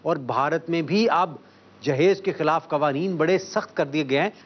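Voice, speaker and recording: A man hosting a satirical TV show, smooth and teasing as he sets up jokes. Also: on the high side, normal speed. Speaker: show host. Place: TV studio. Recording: slightly dull TV broadcast sound, faint hiss.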